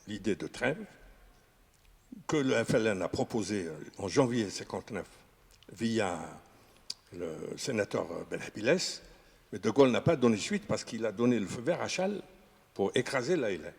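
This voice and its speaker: A man with a husky, slightly low voice talks through historical detail in an unscripted discussion. He hesitates often and restarts his sentences. The sound carries a long reverberant tail. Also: darkish tone. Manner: halting, many fillers and restarts. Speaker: historian guest. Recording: reverberant room.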